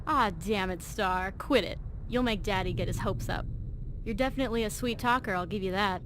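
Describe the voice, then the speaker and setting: With clear noise around the voice, a woman plays an anxious, driven teenage girl from a post-apocalyptic vault in a video game. She talks in a chatty, earnest, slangy way at a middle pitch. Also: a little fast, lightly acted. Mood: playful, determined. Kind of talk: video game mod dialogue acting.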